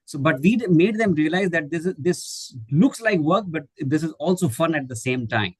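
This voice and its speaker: A man's voice: fairly high pitch, lively intonation, normal speed, slightly rough, no room sound. A middle-aged man from India whose first language is Hindi, answering questions in an online webinar, hesitant with many fillers and restarts. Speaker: guest speaker, English teacher trainer.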